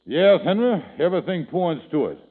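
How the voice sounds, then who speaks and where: An actor playing a nervous, stammering schemer in a 1940s radio comedy. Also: male voice, fairly high pitch, big pitch swings, moderate pace. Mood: fretful. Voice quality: fretful, stammering. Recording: tinny old radio broadcast recording.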